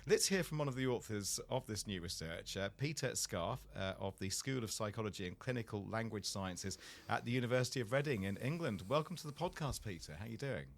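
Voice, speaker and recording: A man hosting a tech podcast from a small studio, with a slightly croaky, low, gravelly voice and a chatty, jokey manner. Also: lively intonation, fairly quick, hardly any pauses. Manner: chatty and jokey, with playful asides. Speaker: podcast host.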